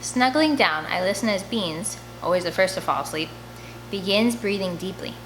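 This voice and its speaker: A woman's voice: medium pitch, lively intonation, normal speed, a bit bright, slight background noise, low-quality recording. A woman reading a first-person children's novel aloud as an audiobook, telling the story expressively. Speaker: audiobook reader.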